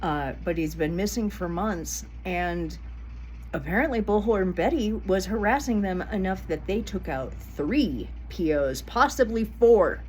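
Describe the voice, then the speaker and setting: A woman's voice: mid-range voice, expressive, unhurried, darkish tone, slight background noise, rough recording. Indignant woman vlogger, blunt and profane. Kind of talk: casual commentary vlog.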